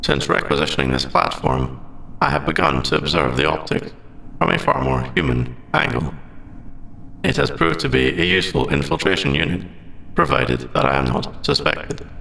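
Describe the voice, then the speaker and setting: ASMR drama role: male AI android performed by a man, heavy vocal fry, varied pitch, formal and deliberate, faint noise behind. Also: wildly swooping pitch, bright, forceful tone, heavily acted, poor audio. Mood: earnest, curious. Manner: stilted, precise, deliberate robotic phrasing. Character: formal, logical male android AI, secretly sentient and earnest. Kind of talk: scripted ASMR audio roleplay.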